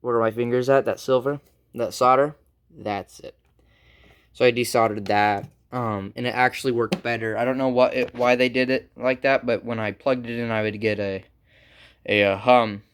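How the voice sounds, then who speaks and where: A man giving a casual, unscripted how-to as a hobbyist repairer, halting and informal as he thinks aloud. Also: medium pitch, steady tone, smooth. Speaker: hobbyist electronics repair presenter.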